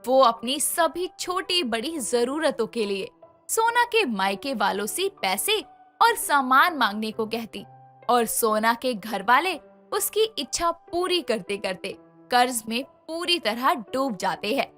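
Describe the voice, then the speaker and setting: A woman tells a cartoon story at an unhurried pace, her high, bright voice rising and falling a lot. Soft music plays under the narration. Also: very animated.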